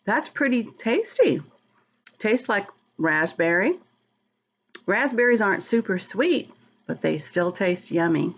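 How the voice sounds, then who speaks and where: A woman's voice: mid-range voice, big pitch swings, slow pace, highs cut off, slight background noise. A woman reading a storybook aloud to young children, talking to them directly and expressively, drawing out words. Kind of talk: read-aloud to young children, chatting and asking questions.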